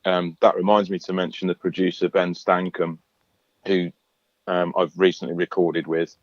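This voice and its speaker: Low-voiced singer, chatty and relaxed. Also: male voice, normal speed, dry recording, lo-fi sound. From England. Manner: relaxed, chatty, many fillers and restarts. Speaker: singer-songwriter guest. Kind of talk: radio interview.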